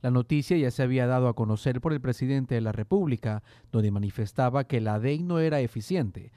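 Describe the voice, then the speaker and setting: A man's voice: medium pitch, brisk, mellow, no room sound, studio quality. A man reading a scripted news report as a voice-over.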